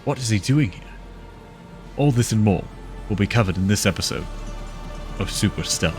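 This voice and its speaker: A man's voice: fairly low pitch, animated, normal speed, a little mellow, soft background music. A man reading scripted story narration as the narrator of an audio drama.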